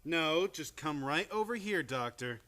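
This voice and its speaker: A man's voice: a little high, expressive, unhurried, bright, forceful tone. A man acting a flustered nephew who nervously placates his eccentric family in a radio play comedy.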